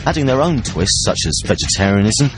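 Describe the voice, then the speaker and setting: A man's voice: on the low side, sing-song, fairly quick, over music, low-quality recording. A man reading scripted documentary narration.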